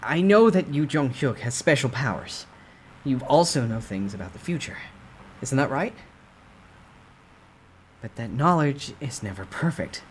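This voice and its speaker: A man's voice: mid-range voice, animated, a little slow, long pauses, a little hiss or hum, natural voice. A man voicing a bold, calculating young man who speaks persuasively in a dramatic novel reading.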